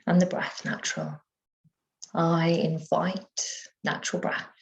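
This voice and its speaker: A woman with a slightly low voice leads a guided relaxation as a yoga nidra teacher. She speaks soothingly and takes her time, leaving gentle pauses between phrases. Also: big pitch swings.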